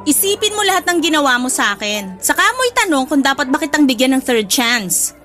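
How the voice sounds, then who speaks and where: A woman's voice: high-pitched, very animated, a little fast, pushed and bright, soft background music, full character voice. An actress playing a hurt, feisty young woman in a Filipino radio drama, with an indignant, exasperated delivery and a Filipino accent.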